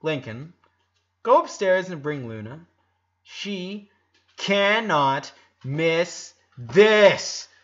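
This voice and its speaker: Man as a bossy, excited teenage big sister. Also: a little high, very slow, lo-fi sound, pitched above the performer's own voice, exaggerated voice. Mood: giddy, commanding. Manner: commanding, emphatic.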